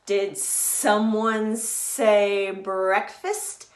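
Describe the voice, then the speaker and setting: A woman voicing a sly, menacing, hungry snake in a children's storybook, with drawn-out, hissing, taunting delivery. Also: mid-range voice, little pitch movement, reverberant. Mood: sly menace.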